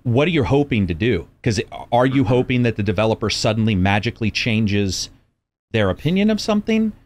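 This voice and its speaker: A man's voice: medium pitch, sing-song, a little fast. A man in a casual discussion, agreeable and chatty, often laughing and agreeing with others. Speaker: podcast guest.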